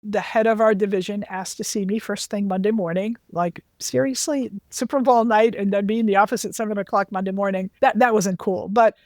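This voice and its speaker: A woman's voice: medium pitch, fairly even intonation, a little fast, few pauses, a little mellow, no echo, studio quality. A woman in her sixties who grew up in Lebanon, telling career stories in an interview with self-deprecating asides. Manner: anecdotal, self-deprecating, with frequent asides to the host. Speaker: interview guest, finance executive. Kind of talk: candid career storytelling.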